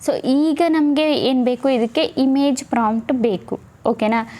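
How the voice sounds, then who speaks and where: A woman's voice: high-pitched, a little slow, mellow. A woman presenting a casual step-by-step tutorial, instructing and often checking in with listeners. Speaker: tutorial host.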